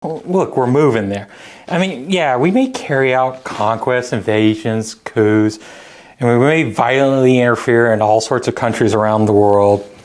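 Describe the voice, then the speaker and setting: A woman's voice: a bass voice, normal speed, darkish tone. A woman playing a bossy, evasive newspaper editor in a satirical sketch, hedging and insistently repeating herself. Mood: evasive, justifying.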